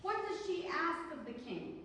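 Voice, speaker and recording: Echoey, high-pitched woman teaching a class. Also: very animated, unhurried, gravelly, a bit bright. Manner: didactic, emphatic, frequent rhetorical questions and check-ins. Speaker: counseling course instructor. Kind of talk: classroom lecture with questions to students. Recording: very echoey hall, distant mic, faint noise.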